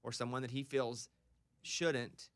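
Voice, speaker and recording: A man's voice: mid-range voice, steady tone, a little slow, fairly bright tone, clear, resonant tone. A man hosting a morning radio show from the studio, teasing his cohosts and steering the banter. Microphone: studio microphone.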